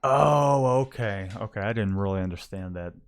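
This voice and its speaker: A man's voice: lowish voice, animated, moderate pace. A man with a Southern accent mixing Texas and Louisiana, chatting casually and rambling with lots of restarts. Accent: Southern US, Texas-Louisiana mix. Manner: rambling, many restarts and fillers. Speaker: screen printing shop owner, co-host. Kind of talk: casual livestream chat.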